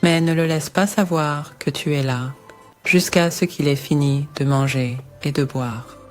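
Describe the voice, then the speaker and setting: A woman playing an older, devout mother-in-law who gives caring, measured advice in an animated Bible story. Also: low-pitched.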